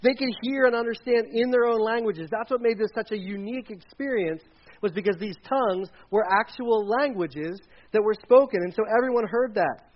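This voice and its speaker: A man with a fairly high voice delivers a sermon in a loose, conversational way, often restarting his sentences and acting out the people in the passages he retells. The recording sounds a little dull and lo-fi. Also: brisk. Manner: conversational, retells scripture with acted quotes and restarts. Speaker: pastor. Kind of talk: sermon with slides.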